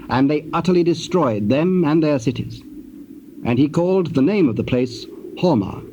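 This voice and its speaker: A man's voice: mid-range voice, lo-fi sound. Solemn male narrator on noisy old tape. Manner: formal, solemn, measured. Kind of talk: scripted scripture narration, dramatized.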